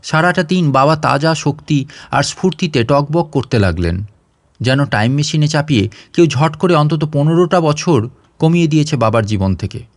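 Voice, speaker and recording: A man's voice: on the high side, expressive, normal speed, no room sound. Man narrating a story, dry close sound. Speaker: audio story narrator and channel host. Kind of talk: scripted narration of a short story.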